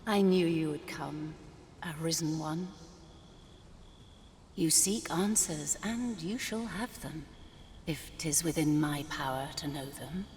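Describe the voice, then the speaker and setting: A solemn female oracle speaks very slowly in a long reverb. Her voice is lowish and serene, with measured archaic phrasing fit for a fantasy game. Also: darkish tone. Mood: solemn, mystical. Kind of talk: fantasy video game acting. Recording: long reverb, faint noise.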